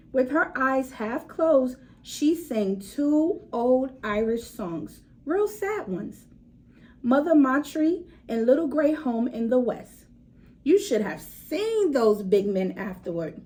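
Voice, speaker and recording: A woman reading a picture book aloud to children in an expressive way, stretching words for emphasis and adding asides to her young listeners. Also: sits high. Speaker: read-aloud storyteller for children.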